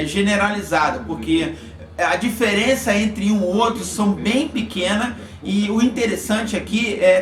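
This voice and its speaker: A man with a Brazilian accent giving an informal explanation as a vlogger, in a halting, repetitive way with frequent check-in words. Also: high-pitched, fairly bright tone. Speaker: vlogger reviewing motorcycle communication gear. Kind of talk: informal explanatory product talk. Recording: echoey, roomy sound.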